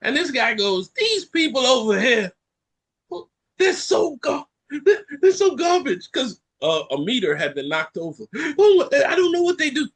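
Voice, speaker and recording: Lively, breathy-voiced man telling stories. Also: extremely high pitch, unhurried. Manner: animated storyteller who acts out other people's lines and laughs mid-sentence. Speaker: podcast co-host. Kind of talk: casual chat, storytelling.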